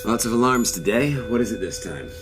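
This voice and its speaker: A man's voice: fairly low pitch, animated, brisk, noisy background, close to an everyday voice. A man playing a wry, patient crewmate in a sci-fi audio drama, speaking in a dry, understated, naturalistic way. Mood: guarded.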